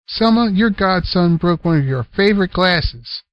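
A man voicing a grumpy, balding older uncle who snaps and teases in a gruff manner, in a storybook reading. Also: sits high, lively intonation, a little slow, no room sound, dull audio, pitched above the performer's own voice. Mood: gruff, scolding.

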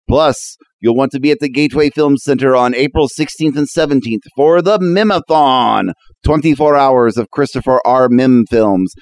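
A man with a mid-pitched voice speaks like a showman announcer. He delivers scripted gags and plugs for events, recorded dry with very little room sound. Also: dry recording. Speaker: guest announcer and joke teller. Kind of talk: scripted joke telling and event promotion.

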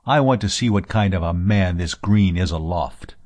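Tough seafaring bully, performed by a male narrator: forceful delivery, blustering and challenging, fantasy audiobook character. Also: low voice, moderate pace, lightly acted. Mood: scornful. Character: belligerent tough male sailor.

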